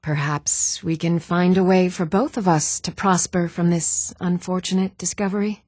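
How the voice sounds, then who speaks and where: Woman acting an even-toned fantasy townswoman, dry close sound. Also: on the low side, dry recording, close to an everyday voice. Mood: cool, measured. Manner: measured, even-toned, clearly enunciated. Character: even-toned young Nordic townswoman and warrior in a fantasy game. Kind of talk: scripted video game dialogue.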